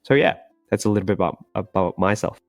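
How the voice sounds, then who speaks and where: A young man from Malaysia talks casually as an interview guest. His voice is deep and clear, recorded dry with faint music behind it. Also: fast talker, no echo. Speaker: startup co-founder, podcast guest. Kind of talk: casual self-introduction in an interview.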